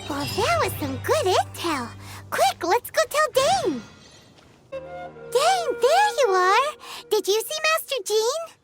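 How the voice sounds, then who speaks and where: Video game fairy companion: very high, childlike, squeaky, animated, chirpy and curious. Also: female voice, slow, loud music behind the voice, exaggerated voice. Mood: earnest, chirpy. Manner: chirpy, expressive, refers to herself by name. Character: tiny excitable childlike floating fairy companion, cheerful and nosy.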